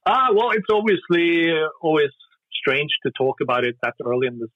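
A Norwegian musician talking casually in an interview, hesitant, with lots of fillers and restarts. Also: male voice, on the high side, expressive, fairly quick. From Norway. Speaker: musician guest. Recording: dry tinny phone line.

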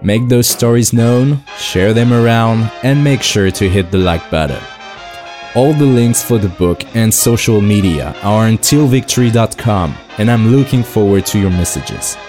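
A man's voice: on the low side, normal speed, music in the background. A French man in his thirties with a French accent, hosting a podcast in a scripted, earnest and enthusiastic manner. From France. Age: 30s. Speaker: podcast host.

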